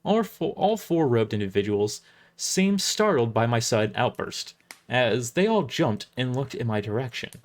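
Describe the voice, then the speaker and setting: Male livestream storyteller, mid-pitched, highly animated read of scripted narration. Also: moderate pace.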